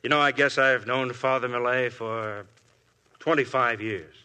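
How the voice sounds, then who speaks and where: An actor playing a shrewd police inspector who questions people with smooth persistence, in a 1950s radio drama. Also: male voice, mid-range voice, steady tone, a little slow, sharp tone. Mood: probing. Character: shrewd, probing middle-aged police inspector.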